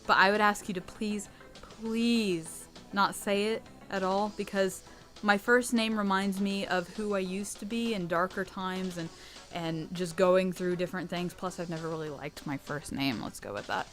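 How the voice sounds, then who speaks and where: A young American woman who hosts her channel, answering fan questions in a candid, chatty way with a low, rich voice. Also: steady tone, moderate pace, fairly bright tone, soft background music. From United States. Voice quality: low rich voice, sometimes taken for a boy. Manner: chatty and candid, with laughs and restarts. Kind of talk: casual Q&A monologue.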